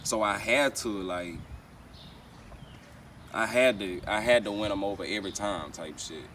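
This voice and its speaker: Very low-voiced American man, slangy and casual. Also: a little slow, fairly bright tone. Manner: slangy, repeats verbal tags like "you know what I'm saying". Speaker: rapper being interviewed. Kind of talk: casual interview chat. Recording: noisy, distant mic, outdoor street ambience.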